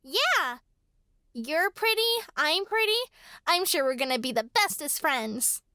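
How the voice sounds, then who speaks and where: A woman's voice: high-pitched, very animated, unhurried, bright, forceful tone, no echo, studio quality, exaggerated voice. A woman playing a vain, bratty, spoiled little girl in a horror radio drama. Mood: smug.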